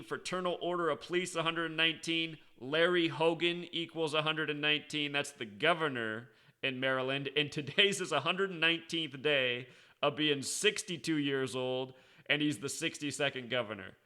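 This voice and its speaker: Bright, crisp-voiced American man commentating. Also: fairly high pitch. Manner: insistent, sarcastic, repeating key words for emphasis. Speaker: online commentator and video host. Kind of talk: unscripted explanatory commentary.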